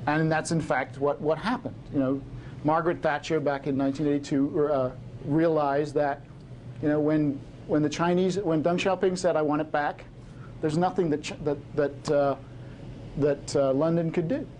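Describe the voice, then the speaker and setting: A middle-aged man gives a lecture in a fairly high voice, stopping and restarting often and filling the gaps with "uh". A faint hiss sits under the recording. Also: steady tone, a little mellow. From Hong Kong. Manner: halting, many fillers and restarts. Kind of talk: lecture answering audience questions.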